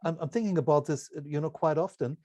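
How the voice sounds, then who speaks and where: A man, a native German speaker, interviewing in a conversational way with halting, deliberate, broken-up phrasing. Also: a little high, dark tone.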